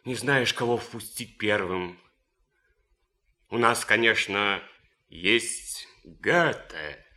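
An actor playing an idealistic, romantic young German officer in a radio play, declaiming rhapsodically with deliberate pauses. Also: male voice, fairly low pitch, pushed and bright, full character voice. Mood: fervent, proud.

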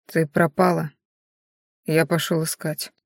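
A woman's voice: fairly low pitch, steady tone, dry recording, clean studio sound. A woman voicing an anguished male werewolf in a dramatic audiobook, desperate and half-mad with guilt, with a strained, breaking voice. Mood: numb, hollow. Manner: desperate, halting, repeating himself.

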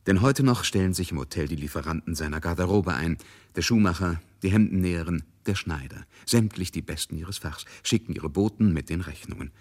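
Male radio-play narrator, low-pitched, husky, literary dramatic storytelling. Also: brisk, a little mellow.

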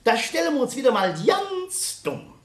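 Cranky old-school teacher, Rhineland German accent, folksy, rambling, very animated intonation, radio drama. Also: male voice, very high voice, normal speed. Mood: gruff, mocking. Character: grumbling, cranky male physics teacher scolding his pupils. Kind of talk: German radio play acting.

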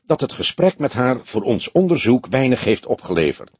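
A man's voice: medium pitch, big pitch swings, moderate pace, a little mellow, breathy edge, dry recording. A man voicing a younger detective sidekick in an audiobook, earnest and unsure, with a peevish, questioning manner. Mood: sulky.

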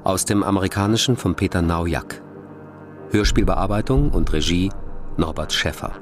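A man's voice: deep voice, moderate pace. A man reading scripted announcements as the announcer of a radio drama. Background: music.

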